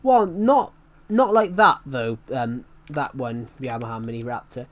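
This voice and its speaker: A man's voice: mid-range voice, lively intonation, unhurried. A man in his twenties streaming, chatting casually and rambling, saying each phrase twice before moving on. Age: 20s. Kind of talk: rambling casual livestream chat.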